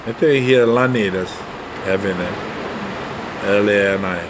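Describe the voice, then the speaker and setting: A man in his eighties from Hawaii, with a Hawaiian Pidgin accent, speaking in a relaxed, chuckling way in an interview. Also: lowish voice, background noise. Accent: Hawaiian Pidgin English. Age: 80s. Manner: slow, easygoing, chuckling. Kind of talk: casual interview talk.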